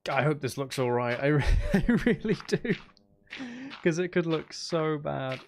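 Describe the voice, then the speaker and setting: Creaky-voiced man thinking aloud while gaming. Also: a little high, unhurried. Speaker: gaming streamer. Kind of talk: casual live gameplay commentary. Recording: faint background noise and clicking.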